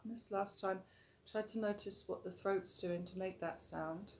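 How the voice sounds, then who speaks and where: A woman teaching a yoga breathing technique in a calm, instructive way, her voice a little rough today, sometimes stretching words slowly and dropping softer while guiding the breath. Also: medium pitch, slight background noise. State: slightly rough voice. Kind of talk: breathing tutorial.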